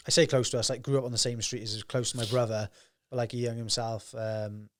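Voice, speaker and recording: A man's voice: mid-range voice, flat delivery, a little fast, slight background noise. A young man hosting a podcast, chatting casually and agreeably, often ending with tag questions. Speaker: podcast host. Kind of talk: casual interview chat.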